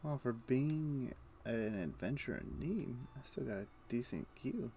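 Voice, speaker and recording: A man's voice: mid-range voice, lively intonation, unhurried, dark tone, a little hiss or hum. A man casually thinking aloud in short, muttered fragments as he streams his gameplay. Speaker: game streamer.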